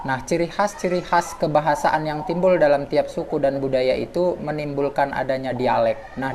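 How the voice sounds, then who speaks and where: A man explains language on a live radio show, pausing on fillers and restarting his words. His voice sits at an ordinary middle pitch. A clear hiss of noise runs under the broadcast. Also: a little mellow. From Medan, North Sumatra. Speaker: guest speaker on language. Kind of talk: radio talk lecture.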